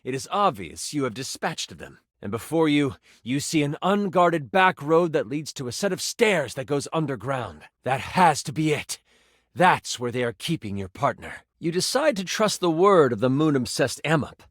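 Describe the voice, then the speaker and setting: Theatrical, animated fantasy game master. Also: male voice, a little high, normal speed, no echo, clean studio sound. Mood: grave, dramatic, then hushed, suspenseful. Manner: theatrical, expressive, savoring suspense. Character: personified D20 die, male, theatrical, enthusiastic game master. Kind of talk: dramatic tabletop fantasy narration.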